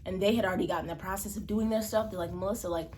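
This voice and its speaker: A young woman from Malawi talks through a personal story in a relaxed vlog and acts out what other people said. Her voice sits at a middle pitch. A faint hum of room noise runs behind her. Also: racing. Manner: chatty, expressive, acts out quotes with pitch jumps. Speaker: vlogger. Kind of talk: casual storytime vlog while eating.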